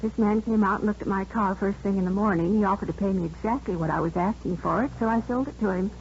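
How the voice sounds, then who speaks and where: A woman's voice: mid-range voice, quick pace, darkish tone, natural voice. An actress playing a talkative, proper, aggrieved woman who runs a nursery school, in a 1950s radio drama. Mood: chatty, earnest. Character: indignant, chatty woman running a nursery school. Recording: muffled old radio, faint noise.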